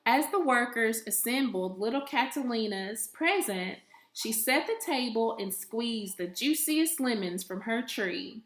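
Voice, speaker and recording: Slow, echoey woman reading a children's story. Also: a little high, expressive. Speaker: teacher reading aloud. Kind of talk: expressive children's story read-aloud. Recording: echoey, roomy sound.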